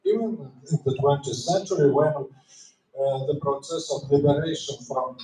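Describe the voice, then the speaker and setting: A middle-aged man with a Lithuanian accent gives a scholarly talk at a conference, his voice slightly high and soft-toned. He hesitates often, filling gaps with uhs. The sound is echoey and distant from the mic. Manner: hesitant, many filler pauses. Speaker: conference speaker. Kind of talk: academic lecture.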